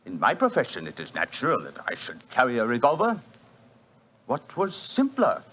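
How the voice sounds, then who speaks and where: A suave casino manager. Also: male voice, mid-range voice, wildly swooping pitch, moderate pace, fairly bright tone. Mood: fatalistic. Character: suave French casino manager, cool gambler. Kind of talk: 1940s radio acting. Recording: dull old radio broadcast.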